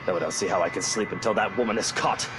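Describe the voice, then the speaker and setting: A man's voice: low-pitched, fast, music in the background, close to an everyday voice. A man voicing a brash, stubborn young master in a visual novel, curt, agitated and defiant. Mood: worried, defiant. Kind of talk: visual novel character acting.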